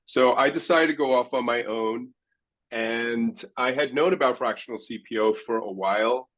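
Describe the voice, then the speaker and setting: A man in his forties, a founder speaking on a conference panel in a measured, articulate way. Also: mid-range voice, a bit bright, rough recording. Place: conference stage.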